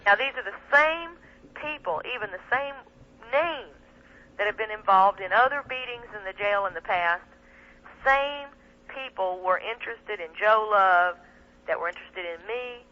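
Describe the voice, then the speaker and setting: A woman's voice: medium pitch, lively intonation, moderate pace, sharp tone, dull audio. A woman speaking emphatically and indignantly as a guest in an impassioned talk radio interview. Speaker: guest, attorney and activist. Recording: band-limited 1990s shortwave radio, telephone sound.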